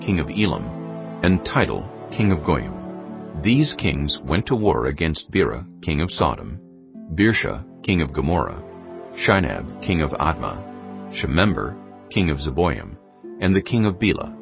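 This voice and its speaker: A man narrating scripture in a measured, grave storytelling manner. The audio is dull and lo-fi. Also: very deep voice, gravelly. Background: music.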